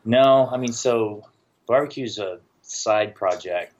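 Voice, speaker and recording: A middle-aged man from the American South with a deep voice, talking casually as a podcast guest. He has a dry, joking way about him. The recording is a little dull and lo-fi. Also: takes it slowly. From Southern United States, born in Tennessee. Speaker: podcast guest, barbecue business owner. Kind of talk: casual interview chat.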